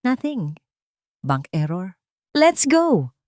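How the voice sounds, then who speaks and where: A woman voicing an arrogant, wealthy man who is forceful, demanding and impatient in a narrated audio drama. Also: medium pitch, big pitch swings, extremely slow, dry recording, studio quality. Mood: curt, agitated.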